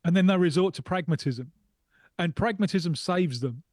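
A man's voice: high voice, moderate pace, darkish tone, no room sound. Male studio pundit, reflective and repetitive, dry close sound. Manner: reflective, repeats phrases for emphasis. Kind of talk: casual chat.

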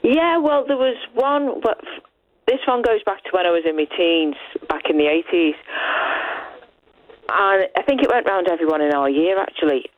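A woman's voice: on the low side, normal speed. Brief: woman, fifties, phone caller, chatty, muffled line. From Liverpool. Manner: chatty, animated storyteller. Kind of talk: phone-in chat.

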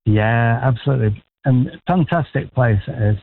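A man's voice: mid-range voice, expressive, normal speed, creaky. Man, sixty, from Manchester, radio caller, rambling, chatty, tinny phone line. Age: 60s. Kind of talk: casual phone-in chat. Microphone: telephone.

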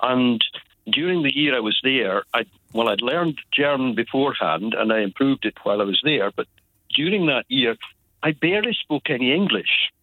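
A Scottish man calling a radio phone-in, measured and articulate, laying out his story carefully. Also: medium pitch, lively intonation. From Scotland. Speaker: radio caller. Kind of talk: phone-in chat. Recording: tinny phone line.

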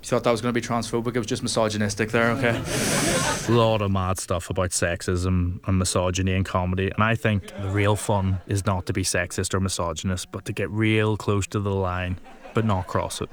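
Low-voiced male stand-up comedian. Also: fairly quick. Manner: self-deprecating, deadpan asides. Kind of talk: stand-up storytelling with asides. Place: comedy venue with live audience.

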